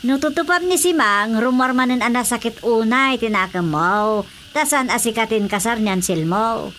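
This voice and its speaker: An actress with an Ilocano accent playing an older, gossipy village aunt who fusses and placates in a radio drama comedy. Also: female voice, a little high, moderate pace, fairly bright tone, a little hiss or hum, lightly acted. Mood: exasperated, advising. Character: Nana Suping, older gossipy village aunt, exasperated peacemaker.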